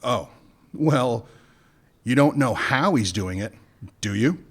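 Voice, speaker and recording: A man acting a smooth-talking gun runner in a radio play, wry and bargaining, with dry jokes. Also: mid-range voice, lively intonation, slow pace. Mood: scheming.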